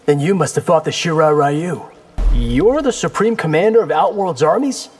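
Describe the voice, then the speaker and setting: A young fighter in a video game who is cocky and defiant. His voice sits a little high and moves expressively, with casual, wry phrasing over faint music. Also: lively intonation, normal speed, darkish tone, breathy edge. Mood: confident. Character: cocky, determined young male Earth warrior. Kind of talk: video game character dialogue.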